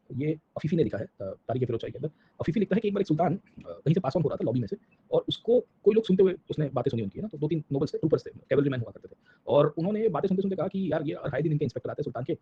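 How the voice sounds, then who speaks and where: A man's voice: on the high side, a little hiss or hum. A man with an Indian accent giving an informal history lecture, switching between two languages and constantly tossing in check-in questions and tag words. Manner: mixes Hindi and English. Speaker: history teacher. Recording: dull lo-fi audio.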